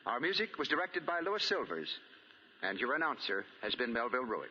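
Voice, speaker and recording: A man's voice: mid-range voice, very animated, fairly quick, slight background noise. An American man working as a 1940s radio announcer, reading copy with polished, emphatic phrasing on a dull old recording.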